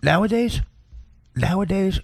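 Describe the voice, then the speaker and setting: A male comic with a gravelly, husky voice rambles through crude stories in a casual way. His delivery is animated and profane, with pitch that moves around a lot. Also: measured pace. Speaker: stand-up comedian. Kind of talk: casual, profane comedy storytelling.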